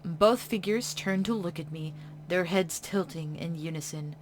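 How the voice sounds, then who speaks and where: A woman playing a horror visual novel, reading its text in a hush and breaking off into casual reactions. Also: lowish voice, measured pace, noisy background. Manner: hushed reading broken by chatty reactions. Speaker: game streamer. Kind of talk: reading a game's text aloud with casual commentary.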